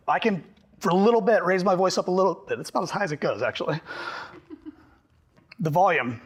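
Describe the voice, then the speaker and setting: A man presenting a workshop to a group, talking fast and animated, slightly hoarse from losing his voice. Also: fairly high pitch. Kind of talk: live workshop talk to a group.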